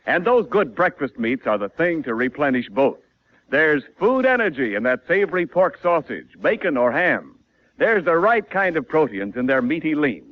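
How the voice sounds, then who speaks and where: Casting: male American announcer for vintage radio commercial, slightly high voice, lively intonation, muffled old recording. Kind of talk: 1940s radio announcing, scripted ad copy.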